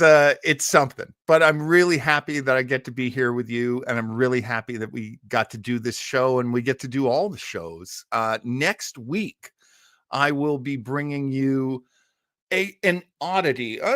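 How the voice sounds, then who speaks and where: Male host, mid-pitched, casual and rambling, reads listener comments with joking asides, dry close recording. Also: animated, a bit bright, dry recording. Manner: rambling, halting, jokey asides. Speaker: live show host. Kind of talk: casual chat, reading and answering listener comments.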